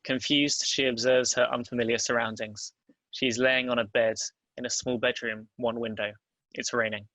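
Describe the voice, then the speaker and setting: A man's voice: mid-range voice, flat delivery, moderate pace, pushed and bright, no room sound, rough recording. A man reading out stage directions as the narrator of a script table read.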